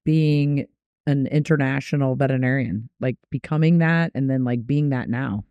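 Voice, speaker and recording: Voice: mid-range voice, moderate pace, gravelly, smooth, no room sound, muffled recording. A podcast co-host asking questions in a casual, conversational way.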